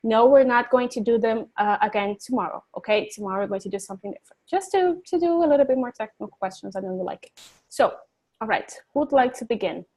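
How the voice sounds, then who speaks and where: A woman's voice: a little high, expressive, fast, a little mellow. A woman teaching an online English class, chatty and encouraging, laughing easily and joking about herself.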